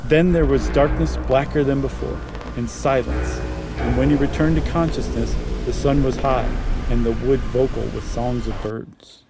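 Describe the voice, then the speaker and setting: A man's voice: medium pitch, fairly even intonation, normal speed. An American man from Ohio co-hosting a podcast, moving between casual chat and dramatic reading of a horror story. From Ohio, United States. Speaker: podcast co-host. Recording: faint music under the voice. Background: faint music.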